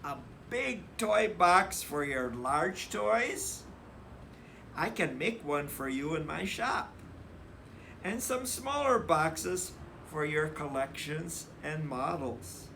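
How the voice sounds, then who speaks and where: A man's voice: on the high side, animated, slow, vocal fry, sharp tone, slight background noise, exaggerated voice. A man voicing a firm, fair-minded father bear from a children's storybook, with an emphatic, lecturing manner. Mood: pleased, practical.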